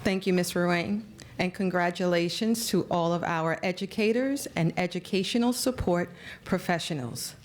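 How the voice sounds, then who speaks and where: A woman's voice: fairly low pitch, normal speed, hardly any pauses, slight background noise. A woman presenting at an awards ceremony, reading scripted announcements.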